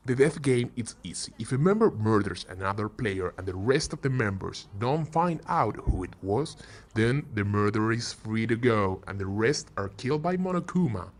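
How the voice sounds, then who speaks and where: A man's voice: on the low side, expressive, normal speed. A man hosting a scripted video with game recommendations, playful and emphatic on key words, with joking asides. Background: background music.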